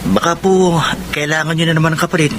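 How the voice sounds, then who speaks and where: An actor playing a gentle, dutiful teenage son with a polite, deferential manner in a Filipino radio drama. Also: male voice, on the high side, flat delivery, fast talker, background noise, natural voice. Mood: worried, respectful.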